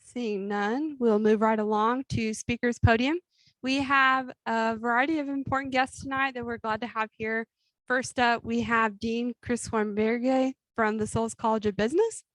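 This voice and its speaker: A young woman chairing a student government meeting, running through the agenda in a brisk, lightly amused way. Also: on the high side, steady tone, a bit bright, no echo, rough recording. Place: meeting with a Zoom link.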